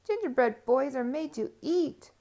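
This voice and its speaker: Woman voicing high, calling storybook farm workers. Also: lively intonation, measured pace, dark tone. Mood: urgent calling.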